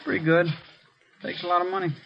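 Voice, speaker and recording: A man's voice: high-pitched, level tone, quick pace, lightly acted. An actor playing a plain-spoken, grumbling policeman in a 1950s radio drama, dry and clipped. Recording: dull, hissy old radio recording.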